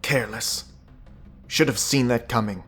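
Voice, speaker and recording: A man's voice: medium pitch, unhurried, fairly bright tone, reverberant, far from the mic, lightly acted. A man playing a stern, tactically minded young fighter in a fantasy audio drama, with terse delivery. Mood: self-reproachful.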